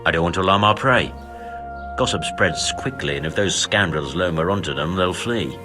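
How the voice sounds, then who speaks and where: A low-pitched medieval boss type for a game, shrewd, authoritative, clear music behind. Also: male voice, expressive, normal speed. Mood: guarded, secretive. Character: brisk, shrewd medieval master directing a manhunt. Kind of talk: video game voice acting.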